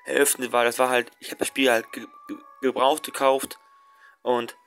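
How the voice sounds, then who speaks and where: A young man rambles through casual let's play commentary, stacking fillers and restarting his sentences as he goes. His voice sits at an ordinary middle pitch. Soft game music plays quietly under his voice. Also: flat delivery, moderate pace.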